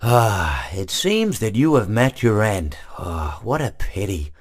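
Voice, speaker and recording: A gentle old hippopotamus animatronic drifts from one tangent to the next, stammering on his restarts. The voice sits a little low but rises and falls a lot as he muses. It suits game voice lines for a harmless, rambling old creature. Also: big pitch swings, takes it slowly, soft background music, full character voice. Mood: mildly regretful.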